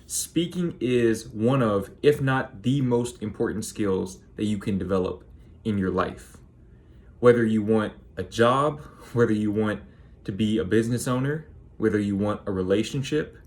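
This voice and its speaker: Monotone young man with a low voice. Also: normal speed. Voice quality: naturally monotone voice. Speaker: YouTuber. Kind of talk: talking to camera, motivational advice.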